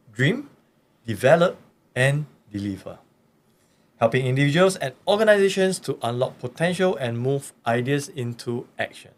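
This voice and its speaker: A male announcer reads a podcast opening in a polished, promotional delivery. His pitch moves a lot and rises on key words. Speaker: show announcer. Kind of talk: scripted show introduction.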